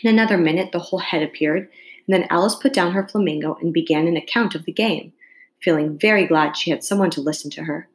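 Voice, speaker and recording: A woman narrates a children's classic with a slightly low voice. Her reading is expressive and scripted, in the style of storytime. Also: quick pace. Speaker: storybook reader.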